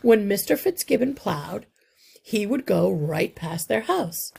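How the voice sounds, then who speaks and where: Lady teacher reading a kids book out loud, gravelly and husky, takes it slow. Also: mid-range voice. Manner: slow, deliberate, expressive. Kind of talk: children's read-aloud narration.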